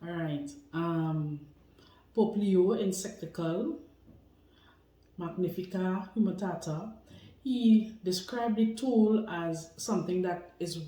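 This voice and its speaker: An interviewer asking measured questions and giving short acknowledgements. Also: mid-range voice, dark tone. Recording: roomy echo.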